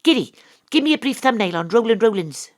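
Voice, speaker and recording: A woman's voice: fairly high pitch, sing-song, fairly quick. A woman with a Welsh accent playing a brash, independent investigator, narrating an audio drama in a dry, blunt manner. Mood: wry. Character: wry, brash, independent-minded female investigator narrating her case.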